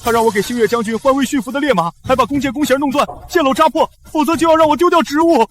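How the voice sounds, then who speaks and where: An actor playing a frightened, lowly official in a costume drama, anxious and pleading. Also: male voice, rapid-fire, fairly bright tone, slight background noise. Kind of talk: costume short-drama acting.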